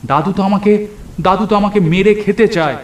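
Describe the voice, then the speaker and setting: A man's voice: high-pitched, normal speed, voice pushed up, close to an everyday voice. A man voicing a terrified young nephew in a horror cartoon, stammering and frantic. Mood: panicked. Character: terrified young nephew trapped among ghouls. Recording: echoey voice over music.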